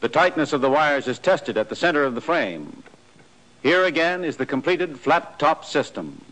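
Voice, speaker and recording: Male, American, slightly high voice; formal, matter-of-fact instructional narration in 1940s training-film style. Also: sing-song, normal speed, fairly bright tone, low-quality recording.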